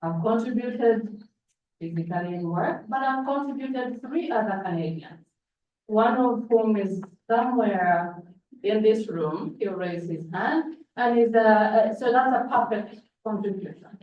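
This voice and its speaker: A woman's voice: on the high side, a little slow, a little hiss or hum. A woman from Uganda in her forties, whose first language is Luganda, tells a personal story at a conference and often restarts her phrases. She is picked up from a distance in a large echoing hall, and the sound is dull and muffled. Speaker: panel speaker. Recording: echoey hall from far off, dull.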